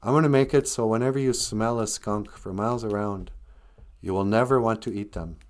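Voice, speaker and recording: A man's voice: on the low side, a little fast, lightly acted. Man voicing a plain-spoken, admonishing great spirit. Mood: reassuring. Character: stern but easygoing great spirit, male. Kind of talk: oral folktale storytelling.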